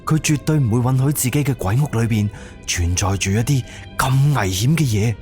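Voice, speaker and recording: A man's voice: mid-range voice, lively intonation, a little fast, a little mellow, soft background music. A man with a Cantonese accent narrating a scripted suspense horror audio drama.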